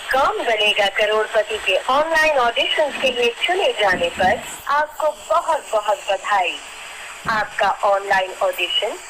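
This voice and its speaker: A woman's voice: mid-range voice, heavy background noise. A woman with an Indian accent reading formal scripted instructions as a phone line announcer, on a played-back call recording.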